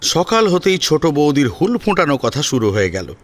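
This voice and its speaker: A man narrating a scripted family drama serial with expressive, dramatic storytelling. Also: fairly high pitch, normal speed. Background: soft sitar and plucked string music.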